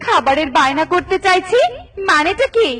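নারীকণ্ঠে খুব চড়া গলার ঝগড়াটে পাখি-বউ. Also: female voice, animated, moderate pace, sharp tone, distant mic, faint music behind, exaggerated voice. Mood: angry, threatening. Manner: shrill nagging, indignant. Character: scolding, bossy bird wife, hot-tempered and threatening. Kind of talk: Bengali children's cartoon dialogue.

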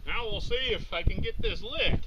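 An older man hosting his own cooking video, narrating in a slow, plainspoken way while he grills in a snowy rural yard. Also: very high-pitched, wildly swooping pitch, creaky, highs cut off. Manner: slow, plainspoken, talking to himself as he works. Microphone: camera on a tripod some distance away. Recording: outdoor camera audio with loud wind and yard noise.

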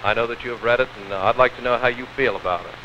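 American sports interviewer, noisy old film sound. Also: male voice, medium pitch, very fast. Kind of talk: 1950s television sports interview. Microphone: handheld mic. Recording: dull, hissy old 16mm film sound.

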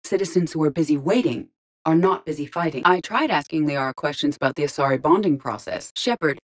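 A courteous female artificial intelligence from a sci-fi video game. The voice sits low and stays composed. Each phrase is measured and exact, with formal wording and a dry, deadpan delivery. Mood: composed, matter-of-fact. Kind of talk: scripted video game dialogue.

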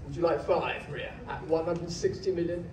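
A man's voice: fairly high pitch, steady tone, background noise. Male auctioneer calling bids in an echoey hall. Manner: rhythmic, measured bid-calling. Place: large packed auction hall. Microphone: podium mic, filmed by phone from the audience. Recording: distant phone recording in echoey hall. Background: room ambience.

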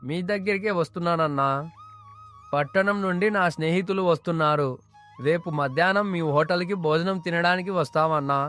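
A friendly village food lover from a cartoon moral tale, played by a man. His voice is on the high side, effusive and respectful, with music behind it. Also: fairly quick, fairly bright tone, clean tone, natural voice. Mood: eager. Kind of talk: animated moral story dialogue. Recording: music under the voice.

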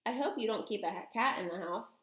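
Lady doing a calm old norwegian granny from a story, crafty, matter of fact, bit echoey. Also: mid-range voice, brisk, natural voice. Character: calm, shrewd, mischievous old Norwegian grandmother.

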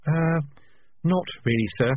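A man's voice: fairly high pitch, a little slow, slight background noise, pitched above the performer's own voice, close to an everyday voice. A man doing a nervous, stammering young rat recruit. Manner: hesitant, stammering. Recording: lo-fi phone-like sound.

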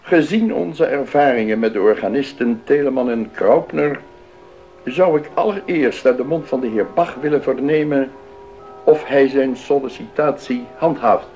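A man's voice: mid-range voice, moderate pace, a little mellow, highs cut off, soft background music, close to an everyday voice. A man playing a stern, pompous, pious councillor in a radio play, with formal, emphatic delivery. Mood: stern, officious. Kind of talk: 1980s Dutch radio play acting.

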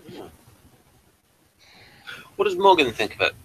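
A man's voice: a little high, very animated, measured pace, fairly bright tone, slightly rough, rough recording. A man co-hosting a casual review chat, stammering through his points and asking others for their opinions. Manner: stammering, questioning, joking asides. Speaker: show co-host.

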